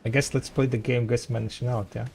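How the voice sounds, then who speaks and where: A man whose first language is Russian, with a light Russian accent, chatting with viewers as a game streamer and trailing off in halting restarts. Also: medium pitch, level tone, creaky, darkish tone, slight background noise. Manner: rambling, halting, many restarts. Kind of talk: casual chat with viewers.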